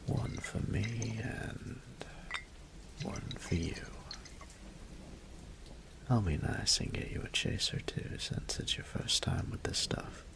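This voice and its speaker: A very gravelly, low-voiced Southern guy acted out by a man plays the flirty roommate in an intimate audio roleplay. He drawls slowly and half-whispers, teasing as he goes. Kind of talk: intimate roleplay audio acting, close and conversational.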